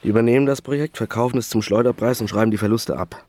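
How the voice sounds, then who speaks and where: An actor playing a worried, broke lawyer and secret lover in a radio crime drama. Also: male voice, mid-range voice, quick pace, warm tone, lightly acted. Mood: worried, bitter.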